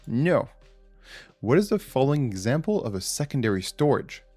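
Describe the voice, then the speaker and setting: A man with a French accent chats through a quiz on a livestream, thinking aloud and answering viewers. His voice sits at a middling pitch, and quiet music plays underneath. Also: very animated, moderate pace, breathy edge. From France. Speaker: livestream host. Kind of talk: casual live quiz chat.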